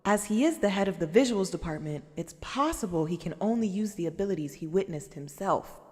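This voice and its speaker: A woman's voice: fairly low pitch, animated, fairly quick, darkish tone, lightly acted. A woman playing an earnest, analytical young officer in an anime fan-dub. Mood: doubtful. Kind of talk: anime fan-dub audio drama acting. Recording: long hall-like reverb.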